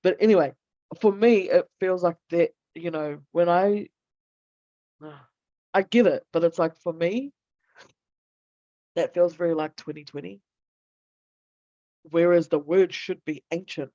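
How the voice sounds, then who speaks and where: A woman's voice: on the low side, unhurried, pauses often, dark tone, no echo, muffled recording. A woman from New Zealand hosting a casual online talk, meandering and searching for her words, then guiding a breathing session. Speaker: host.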